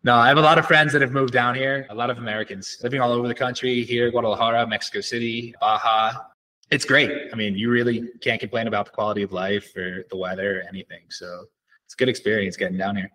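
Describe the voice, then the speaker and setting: A man's voice: medium pitch, fairly even intonation, fast talker, sharp tone, rough recording. A man speaking as an entrepreneur guest in a casual interview, explaining things in a conversational way.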